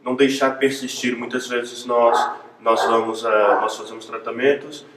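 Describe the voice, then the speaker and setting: A man's voice: mid-range voice, steady tone, normal speed. Portuguese man interviewing in a roomy space. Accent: European Portuguese. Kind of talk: conversational interview. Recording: echoey room, distant mic, faint noise.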